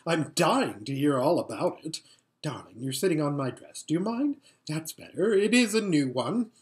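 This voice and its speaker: A woman's voice: deep voice, very animated, normal speed, a little mellow. A woman voicing a vain, lazy, giggly young noblewoman in a storybook, with an affected drawl and gushing manner.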